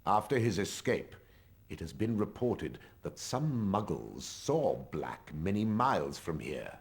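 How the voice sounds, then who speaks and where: A man playing a sardonic, suspicious schoolmaster in a video game, with a deliberate drawl. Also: deep voice, sing-song, full character voice. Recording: clear background noise.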